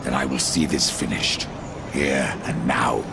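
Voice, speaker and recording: An actor playing a grim, resolute Rider of Death in a video game, with a very gravelly voice and terse, solemn delivery. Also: male voice, fairly even intonation, measured pace, exaggerated voice. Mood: defiant. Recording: clear background rumble.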